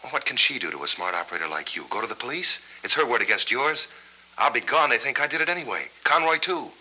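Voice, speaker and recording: Defiant 1940s noir hero, American, very forceful edge, quick clipped delivery, insistent. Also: male voice, medium pitch, expressive, breathy edge, heavily acted, low-quality recording. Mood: urgent, bargaining. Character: cornered, defiant amnesiac war veteran bargaining for a woman's safety. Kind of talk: 1940s film noir acting. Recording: 1940s film soundtrack.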